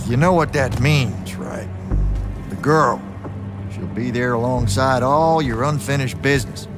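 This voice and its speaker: A man voices a sardonic old mentor figure from a video game, drawling and folksy, in a mid-pitched voice. A full orchestral score plays behind him. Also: measured pace, over music, lightly acted. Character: wounded, gruff older male mentor, sardonic. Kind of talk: video game cutscene acting.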